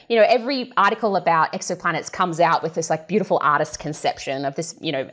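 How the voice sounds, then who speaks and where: A woman speaking as a guest scientist, explaining things in an informal, animated conversational way and acting out imagined remarks. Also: mid-range voice.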